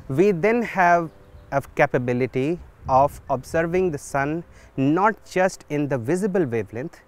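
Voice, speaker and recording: A man, speaking in a thoughtful, measured way with pauses, recorded outdoors in a garden on a lapel mic. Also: fairly high pitch. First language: Hindi. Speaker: solar physics researcher. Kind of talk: documentary interview.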